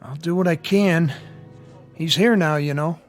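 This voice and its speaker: An actor playing a frank, world-weary army medical sergeant in a war radio drama. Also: male voice, a little high, measured pace, faint music behind, close to an everyday voice. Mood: measured, serious. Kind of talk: audio drama acting.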